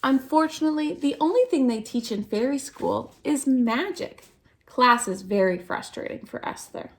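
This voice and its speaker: A woman reading a children's picture book aloud as a storytime host, expressively, with theatrical, drawn-out emphasis on key words. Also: high-pitched, animated. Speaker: storytime reader at a dance centre.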